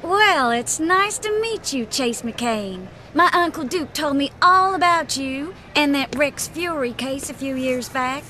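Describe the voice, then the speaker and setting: A woman playing a friendly, confident young policewoman with a sweet, familiar manner in an animated game. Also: sits high, unhurried, few pauses, a little hiss or hum, full character voice.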